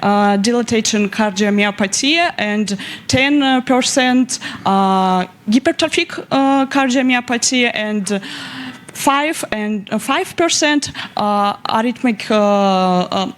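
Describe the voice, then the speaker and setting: A woman researcher with a Russian accent, speaking halting, hesitant English as a native Russian speaker at a scientific conference. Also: a little high, slight background noise. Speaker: young medical researcher presenting at a contest. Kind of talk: conference presentation and question answers.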